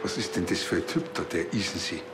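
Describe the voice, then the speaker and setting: A man's voice: sits low, brisk, background noise, close to an everyday voice. An actor with a Bavarian accent playing a laid-back small-town policeman, dry and colloquial. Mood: musing. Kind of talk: TV crime comedy acting.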